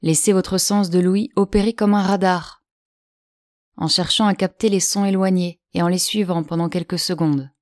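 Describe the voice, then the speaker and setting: A woman guiding a yoga nidra relaxation in French, speaking slowly and calmly with long pauses. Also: lowish voice, no echo, clean studio sound. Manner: slow, calm, soothing delivery with long pauses. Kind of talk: guided relaxation instruction.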